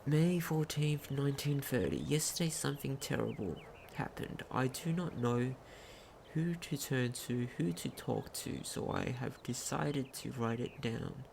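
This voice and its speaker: A game commentator with a creaky, mid-pitched voice over faint noise. The delivery is casual and unhurried, like someone reading on-screen text aloud, with occasional stumbles. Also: darkish tone. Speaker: gaming video commentator. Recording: home recording with faint noise.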